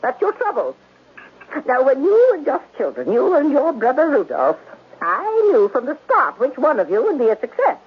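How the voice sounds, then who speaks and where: An actress playing a domineering, opinionated wealthy aunt of eighty-one in a 1970s radio drama, scolding theatrically. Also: female voice, sits high, wildly swooping pitch, moderate pace, breathy edge, exaggerated voice. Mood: gushing. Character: feisty, domineering 81-year-old wealthy aunt. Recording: muffled, lo-fi vintage radio broadcast.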